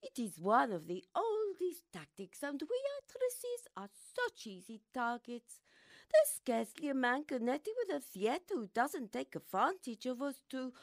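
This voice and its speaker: A glamorous, worldly stage actress in a radio play. Her voice sits a little high and swings widely in pitch. She is theatrical and smoothly patronising, in a dry recording. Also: dry recording. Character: glamorous famous actress, worldly, smooth-talking, gently condescending woman.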